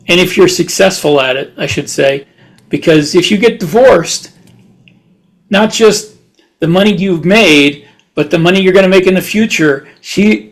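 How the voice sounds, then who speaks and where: A man co-hosting a casual podcast, giving blunt practical opinions and wry, deadpan quips. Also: high voice, gravelly. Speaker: podcast co-host. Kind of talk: casual chat.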